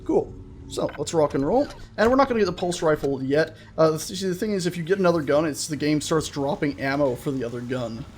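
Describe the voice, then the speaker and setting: Man, slightly high voice, very lively intonation, casual gaming commentary, jokey, jumpy, light background noise. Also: brisk. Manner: jokey, chatty asides to himself and the game's characters; jumpy, excitable, prone to swearing shouts. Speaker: gaming video host. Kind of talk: casual let's-play commentary of a horror game.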